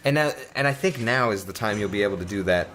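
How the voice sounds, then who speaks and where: A man's voice: lowish voice, animated, fast talker, a bit bright. A man hosting a let's play, chatting and joking casually over a video game. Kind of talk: casual joking gaming commentary. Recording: faint background noise.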